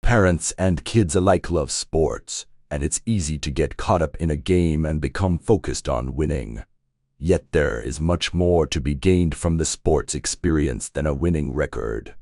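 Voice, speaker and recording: A man reading a scripted passage aloud as narration, in a measured, clearly enunciated way. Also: extremely low pitch, darkish tone, no room sound, clean studio sound. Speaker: narrator of English test practice passages.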